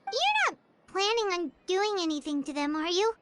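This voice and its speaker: An actress voicing a tiny, childlike female companion creature in a video game, squeaky, earnest and anxious. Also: wildly swooping pitch, moderate pace, heavy background noise, heavily acted. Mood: anxious, pleading. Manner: speaks of herself in third person, earnest.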